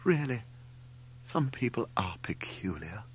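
A man's voice: mid-range voice, big pitch swings, unhurried, slight background noise, pitched above the performer's own voice. A man voicing a desperately polite, flustered middle-aged mother who speaks hesitantly and stammers. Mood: indignant.

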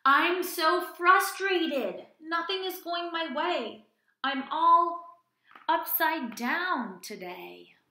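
A woman's voice: very high voice, animated, drawn-out words, sharp tone, echoey room, pitched above the performer's own voice, heavily acted. A woman voicing an emotional turtle from a children's storybook, stretching words for emphasis. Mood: frustrated.

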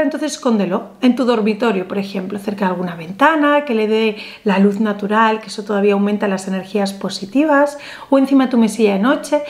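A woman, teaching simple rituals to the camera, animated and emphatic, slowing down for affirmations. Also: a little high. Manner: animated, gestures a lot, slow emphatic affirmations. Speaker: spiritual ritual vlogger. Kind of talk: instructional ritual talk to camera. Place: small indoor room with a painted backdrop.

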